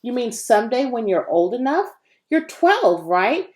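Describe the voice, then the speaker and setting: A woman voicing a sharp, teasing, sardonic young girl in a children's storybook reading. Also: a little high, big pitch swings, unhurried, voice pushed up. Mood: challenging, teasing.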